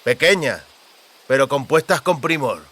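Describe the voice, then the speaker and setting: A man's voice: mid-range voice, lively intonation, measured pace, fairly bright tone. A man voicing a greedy, mocking brigand with a lisping, lip-smacking delivery in an audiobook. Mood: gloating. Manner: mocking, menacing. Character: greedy lisping male brigand, lecherous and smirking.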